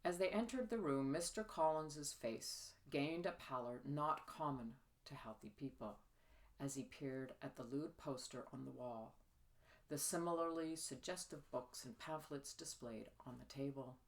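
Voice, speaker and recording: A woman narrates period fiction as its author. Her reading is scripted and measured, with an expressive storytelling manner. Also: very deep voice. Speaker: author reading own novel.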